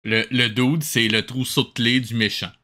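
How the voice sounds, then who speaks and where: A man's voice: medium pitch, steady tone, normal speed, sharp tone. A Québécois man giving casual comic commentary as a review host, sarcastic and slangy, dropping in English phrases. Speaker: host of a mocking watch-along review.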